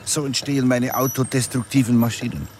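Male avant-garde artist played by an actor, mid-pitched, short emphatic manifesto lines, radio drama, faint noise. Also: fairly even intonation, fairly quick, darkish tone, lightly acted. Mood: declarative. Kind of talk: declaimed manifesto in audio drama.

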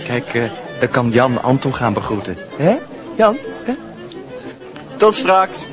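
A man's voice: mid-range voice, very animated, unhurried. A male actor in a Dutch radio drama playing a brash, chatty young man, blunt and breezy. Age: young adult. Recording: music and crowd of dance hall behind.

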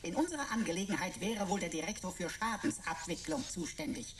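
A woman's voice: fairly low pitch, expressive, fairly quick, slight background noise, close to an everyday voice. A woman playing a cheeky talking pocket computer in a German radio play, flowery, ironic and mock-formal. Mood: officious, flowery.

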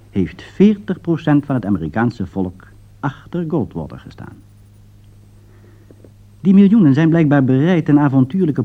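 Lively-pitched Dutch man narrating, dull old recording. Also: husky. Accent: Dutch, from the Netherlands. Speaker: radio documentary narrator. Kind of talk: scripted political commentary, 1960s radio.

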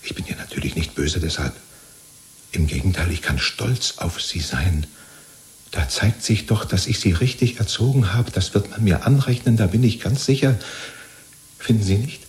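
An actor in a 1970s German radio play, playing a chatty, servile jailed party loyalist who rambles and justifies himself. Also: male voice, lowish voice, normal speed, close to an everyday voice. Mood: eager, contrite. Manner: rambling, ingratiating, chummy, seeking reassurance.

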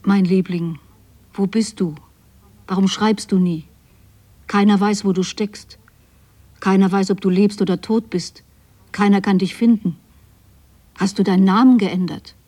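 Abandoned mother for literary radio drama,: raspy mid-pitched voice, reproachful, possessive, anxious, plaintive. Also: fairly even intonation, unhurried, pauses often, dark tone. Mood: bitter, anguished. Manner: plaintive, accusing address to her absent son.

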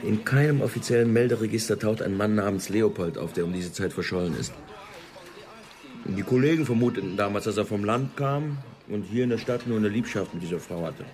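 An actor playing a brooding, persistent police investigator in a German radio drama, measured and reflective in manner. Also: male voice, fairly low pitch, darkish tone, natural voice.

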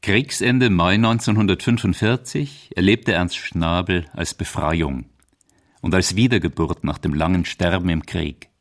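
A man with a very low voice narrates in a scripted documentary style. The delivery is that of a radio feature narrator, measured and composed.

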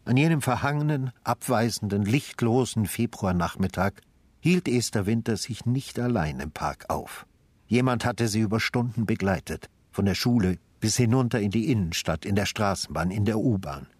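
A haunted old-school detective narrates a radio drama in a low, heavily husky voice, recorded close and dry. His delivery is deliberate and brooding, with a sardonic edge. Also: expressive, darkish tone, dry recording. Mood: grave, insistent. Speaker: narrator and lead actor. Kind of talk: literary radio drama narration with inner monologue.

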